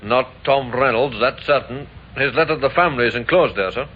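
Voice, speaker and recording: Man playing deferential police sergeant, plain clipped reporting, medium pitch, radio play, dull old recording. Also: fairly quick, slight background noise, close to an everyday voice. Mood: matter-of-fact. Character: dutiful, respectful British police sergeant, matter-of-fact. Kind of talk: old-time radio crime drama acting.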